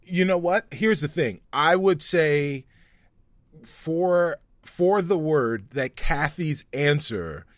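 A man with a lively, slightly high voice that takes its time, close and dry on the mic. He banters casually as a panelist, repeating phrases for emphasis. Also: slow, no room sound. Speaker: game show panelist.

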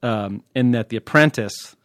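A man's voice: medium pitch, normal speed, a bit bright, dry recording, studio quality. An American man chatting casually and wryly as a podcast host and TV critic. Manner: wry, joking.

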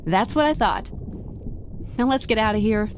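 Woman voicing a brisk undersea rescue captain. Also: a little high, animated, heavy background noise, natural voice. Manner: brisk, commanding. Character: bold young female undersea rescue captain. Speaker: team leader. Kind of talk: comedic audio drama acting.

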